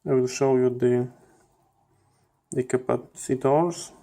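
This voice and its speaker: Slow, low-key man explaining hardware. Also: level tone, dark tone. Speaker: host of a radio electronics channel. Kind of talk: hands-on teardown commentary.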